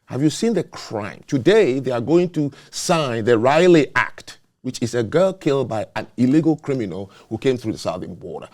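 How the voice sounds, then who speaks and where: Animated, lecturing male talk host. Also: medium pitch, normal speed, few pauses. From not born in the US, naturalized citizen. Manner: emphatic, lecturing. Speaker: show host. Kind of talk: opinionated talk show discussion.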